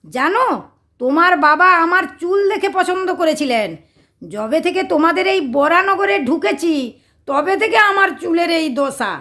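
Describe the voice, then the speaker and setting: A female actor plays a quarrelsome middle-aged wife in a domestic comedy radio play. Her voice is extremely high-pitched, with a West Bengal accent. She scolds and mocks in a sharp-tongued, theatrical manner. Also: expressive, moderate pace. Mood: indignant. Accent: West Bengal Indian. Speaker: actress in an audio drama.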